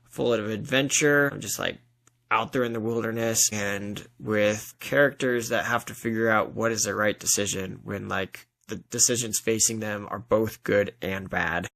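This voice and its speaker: A man's voice: lowish voice, moderate pace, fairly bright tone. A man speaking as an author guest in a casual interview, often voicing imagined thoughts as he explains.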